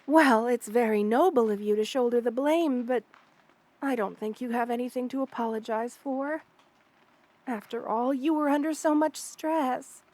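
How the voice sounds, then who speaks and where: A woman voicing a kind, protective spider queen in an ASMR roleplay, speaking intimately and soothingly to the listener with hesitant pauses and formal wording. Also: on the high side, darkish tone, natural voice. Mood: gentle, apologetic.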